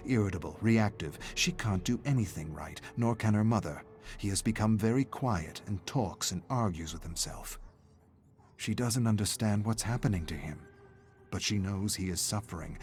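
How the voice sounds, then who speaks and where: A man reading dramatic scripted narration of a dark story in a measured, suspenseful way. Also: low-pitched.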